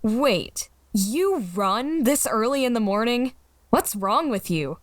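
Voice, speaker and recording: A woman's voice: fairly high pitch, animated, slow pace, no room sound. A woman playing a blunt, nonchalant teenage girl in an amateur comic dub.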